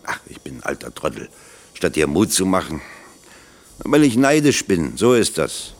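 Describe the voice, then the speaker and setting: An actor in a German radio play playing a kindly, grumbling older locksmith who talks plainly and colloquially. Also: male voice, deep voice, very animated, normal speed. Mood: rueful. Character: kindly, grumbling, self-deprecating older male factory locksmith.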